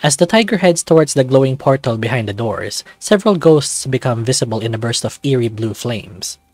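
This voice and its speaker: Male narrator, even second-person storytelling, mid pitch, dry close sound. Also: brisk, no room sound, faint music behind. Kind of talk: scripted visual novel narration.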